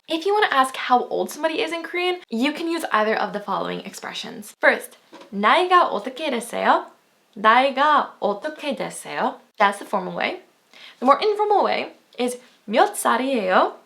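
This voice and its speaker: Young woman teaching a language online, fast and chatty, kinda high voice. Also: vocal fry, fairly bright tone. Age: 20s. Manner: upbeat, chatty, laughs often. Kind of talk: video language lesson.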